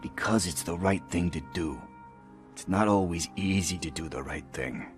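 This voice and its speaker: An actor playing a streetwise, gruffly caring police officer in a video game, with a wry, blunt, scolding manner. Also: male voice, sits low, moderate pace, soft background music, natural voice. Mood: stern, caring.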